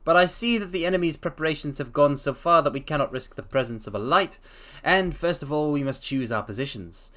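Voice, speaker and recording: A man voicing a sharp, commanding Victorian detective, lifting his voice higher and louder than his own, with a stern, crisp delivery. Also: a little fast, few pauses, natural voice.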